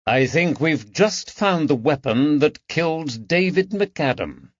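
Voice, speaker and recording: A man voicing a grave, methodical detective in a period mystery audiobook, deliberate and commanding. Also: fairly high pitch, sing-song, no room sound, dull audio, voice pushed up, full character voice. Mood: grimly decisive.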